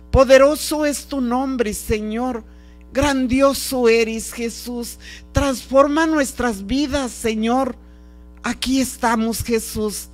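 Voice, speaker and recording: A prayer leader speaking a fervent, improvised devotional prayer in short, pleading, repeated phrases. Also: mid-range voice. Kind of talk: fervent extemporaneous spoken prayer. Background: music.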